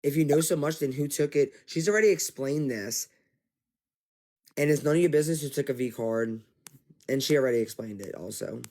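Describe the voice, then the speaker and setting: A man giving casual livestream commentary, exasperated and animated, talking straight to his viewers. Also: mid-range voice, brisk. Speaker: commentary streamer.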